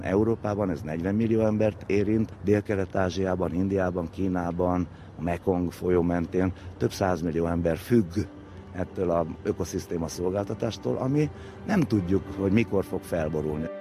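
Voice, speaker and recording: A man's voice: low-pitched, dark tone, soft background music. A man speaking as an expert, explaining things informally with vivid comparisons. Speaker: expert interviewee. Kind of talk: spoken explanation, informal lecture-like. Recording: faint background noise and ambience.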